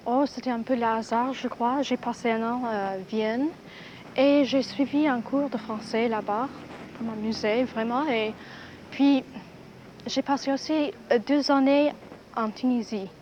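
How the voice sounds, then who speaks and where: A woman from California, a student, answering an interviewer in a relaxed, lightly hesitant way. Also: on the high side, a little slow. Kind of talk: archival radio interview answers. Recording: dull 1960s radio archive, faint hiss.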